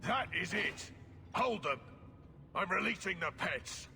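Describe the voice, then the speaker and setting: An actor playing a proud, contemptuous bodyguard with a gravelly voice, menacing and deliberate, in a video game. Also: male voice, sits high, expressive, bright, forceful tone, big-room sound, soft background music, full character voice. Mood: urgent shouting.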